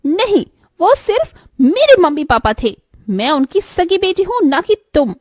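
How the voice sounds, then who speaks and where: A woman's voice: very high voice, big pitch swings, a little slow, darkish tone, dry recording, studio quality, voice pushed up, exaggerated voice. A woman voicing a bitter, domineering young elder stepsister in a cartoon moral story, with an emphatic, dramatic delivery. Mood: angry, scolding.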